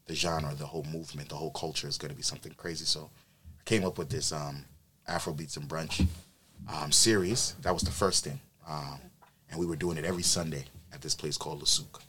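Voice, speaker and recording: A man's voice: extremely low pitch, a little fast, slight background noise. A man who grew up in New York, an event planner chatting casually on a podcast, telling his story with plenty of ums and likes. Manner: relaxed storytelling with many fillers.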